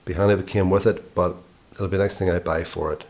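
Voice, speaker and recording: Middle aged guy w a really low voice doing a casual product review, matter of fact, short phrases, bit hesitant. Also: fairly even intonation, quick pace, darkish tone. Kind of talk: informal spoken product review.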